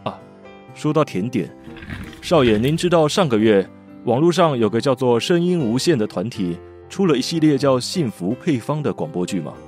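Give me a man acting a composed, teasing male tutor with a Taiwanese Mandarin accent and an expressive, lilting voice. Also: medium pitch, normal speed, faint music behind, natural voice. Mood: smooth. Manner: dry, teasing, gently caring. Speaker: voice actor. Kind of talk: amateur radio drama acting.

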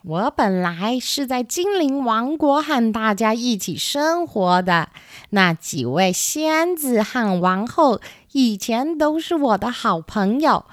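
Storybook dark witch, played by a woman: scornful, wounded, taunting, animated, unhurried delivery. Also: a little high, no room sound, clean studio sound. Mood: bitter. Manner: taunting, theatrical.

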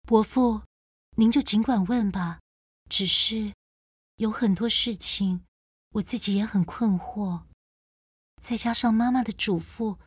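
A woman's voice: mid-range voice, a little slow, long pauses, slight background noise, lightly acted. A woman playing a well-mannered, puzzled, mysterious young lady in a radio drama. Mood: polite, soft. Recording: music under the voice.

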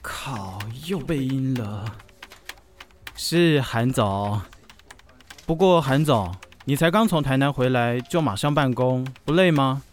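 A man's voice: mid-range voice, expressive, slow, slight background noise. An actor playing a dutiful, harried male office assistant in a radio drama, polite and easily flustered. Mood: grumbling, dutiful. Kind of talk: Mandarin radio drama acting.

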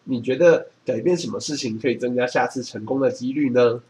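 Quick-talking Taiwanese man, faint background noise. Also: medium pitch, darkish tone. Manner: explanatory, advising. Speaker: podcast co-host. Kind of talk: casual chat.

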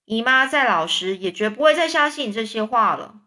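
Indignant aunt, scolding, a woman voices her, high and bright. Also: lively intonation, moderate pace.

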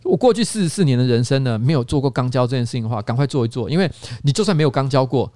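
A man's voice: mid-range voice, racing, dry recording. A man in his forties with a Taiwanese accent hosting a podcast in a casual, jokey storytelling manner. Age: 40s. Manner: animated, jokey storytelling with frequent laughter. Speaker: podcast host. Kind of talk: casual comedic podcast chat.

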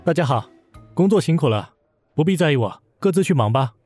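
A man voicing a cool young male company boss who teases calmly and dryly. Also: a little high, a little fast, faint music behind. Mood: curt. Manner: dry, teasing, calm. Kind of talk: audio drama acting.

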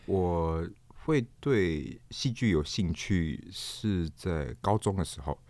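A man with a Taiwanese accent answering casually as an interview guest, halting and repeating words as he thinks. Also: low-pitched, lively intonation, a little mellow, no room sound. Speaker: interview guest, stage performer.

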